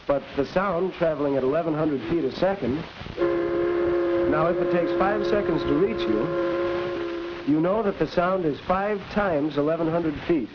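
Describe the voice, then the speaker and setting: Young American male actor as patient science explainer, highish pitch, vintage 1940s classroom-film delivery, noisy track. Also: moderate pace, highs cut off, close to an everyday voice. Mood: explanatory. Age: young adult. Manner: didactic, clearly explaining. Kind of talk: 1940s instructional film acting.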